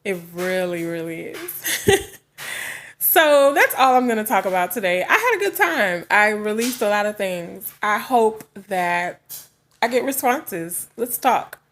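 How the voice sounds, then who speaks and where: A woman's voice: mid-range voice, very animated, unhurried, fairly bright tone. Frank, chatty woman in her forties. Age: 40s. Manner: frank, chatty, laughs often, trails off into asides. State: just woke up. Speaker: vlogger. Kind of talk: casual chat to camera.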